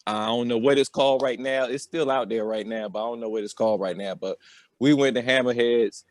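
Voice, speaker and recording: A man's voice: mid-range voice, fairly even intonation, fairly quick, dry recording, clean studio sound. Casual American man spinning a loose story. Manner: loose, slangy, repeats filler phrases like "or whatever". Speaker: podcast host telling a personal story. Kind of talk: casual, rambling comedic storytelling.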